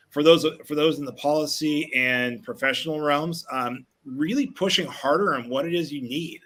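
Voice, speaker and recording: A man's voice: medium pitch, a bit bright. A man speaking off the cuff as an educator in a panel discussion.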